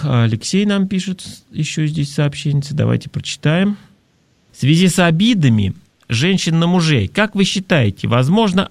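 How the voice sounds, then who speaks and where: Man hosting a talk, fairly high voice. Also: creaky, no echo. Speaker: talk show host. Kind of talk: conversational advice talk, reading listener messages.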